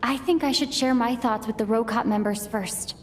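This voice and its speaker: An actress playing a thoughtful young investigator in a video game, speaking in a measured, introspective monologue. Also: female voice, fairly high pitch, steady tone, a little hiss or hum, close to an everyday voice. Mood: pensive. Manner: measured, pensive. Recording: reverberant, faint music behind.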